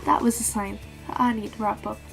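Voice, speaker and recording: A teenage girl talking casually about books in a vlog, with a calm, flat-toned and slightly awkward way of speaking. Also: medium pitch, a little fast, creaky, soft background music, rough recording. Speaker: book vlogger. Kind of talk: casual book tag vlog.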